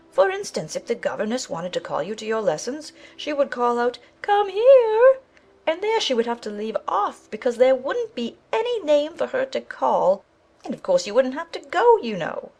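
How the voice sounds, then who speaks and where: A woman voicing a large talking gnat in a children's audiobook, brisk, careless and matter-of-fact. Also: fairly high pitch, wildly swooping pitch, darkish tone, slightly rough, faint music behind, full character voice. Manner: brisk, offhand, buzzing between remarks. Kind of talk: dramatized children's audiobook acting.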